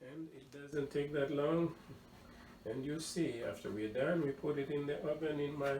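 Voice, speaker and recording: A man's voice: fairly high pitch, normal speed. A man from southern Georgia with a Georgia accent, casually walking through a recipe as host of a home cooking show, repeating words for emphasis. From southern Georgia, USA. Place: home kitchen. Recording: echoey kitchen, distant mic, noisy background.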